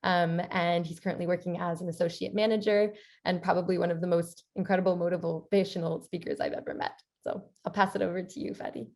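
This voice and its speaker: A woman's voice: lowish voice, flat delivery, fast talker, darkish tone. A woman presenting on a panel as a programme director, hesitant, with frequent ums in the middle of her sentences.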